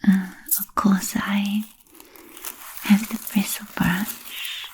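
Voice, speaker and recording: A woman playing an ancient, refined, playfully macabre vampire in a whispered ASMR roleplay, speaking softly and formally with trailing pauses. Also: medium pitch, little pitch movement, a little hiss or hum, poor audio. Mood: teasing, musing. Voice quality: soft breathy whisper. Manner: slow, deliberate, archly formal with trailing pauses.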